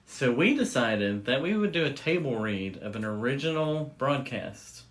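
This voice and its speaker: Casual male podcast host, medium pitch. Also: sing-song, moderate pace. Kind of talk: casual chat.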